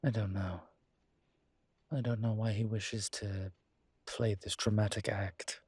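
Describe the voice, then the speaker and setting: A weary incubus demon , played by a man, speaks slowly in a deep-leaning, husky voice, recorded dry and close. He is earnest and diplomatic, imploring in halting phrases with dry asides, as in a dramatic audio roleplay. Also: little pitch movement, darkish tone, no room sound. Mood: muttering, exasperated.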